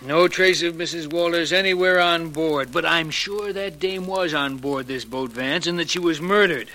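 An actor playing a blunt, informal police sergeant, plainspoken and emphatic, in 1940s radio crime drama. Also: male voice, fairly high pitch, moderate pace, bright, forceful tone. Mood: blunt, frustrated.

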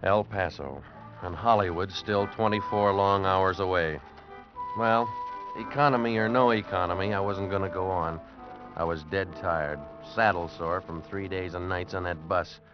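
A man's voice: deep voice, moderate pace, close to an everyday voice. An actor playing a world-weary, broke actor who narrates his story in a wry, hard-boiled radio drama style. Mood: weary, intrigued. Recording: muffled vintage radio recording, music behind.

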